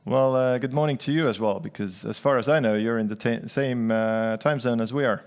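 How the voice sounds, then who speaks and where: A man hosting a conference who chats casually with the speaker, joking and halting with many fillers. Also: mid-range voice, darkish tone, clear, resonant tone. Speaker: conference host. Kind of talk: casual onstage chat.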